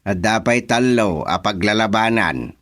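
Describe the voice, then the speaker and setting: A man's voice: on the low side, lively intonation, unhurried, fairly bright tone. A man acting a village contest organizer in a radio comedy, with an Ilocano accent. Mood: encouraging.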